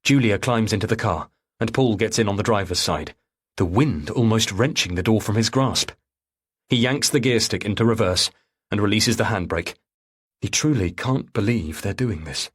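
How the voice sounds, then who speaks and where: Guy narrating a thriller audiobook, lowish husky voice, dramatic storytelling. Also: moderate pace.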